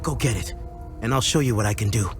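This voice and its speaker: A measured, earnest craftsman. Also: on the low side, gravelly, over music. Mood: matter-of-fact, instructive. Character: guarded, earnest male weapons craftsman, a loyal former apprentice. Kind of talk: video game dialogue acting.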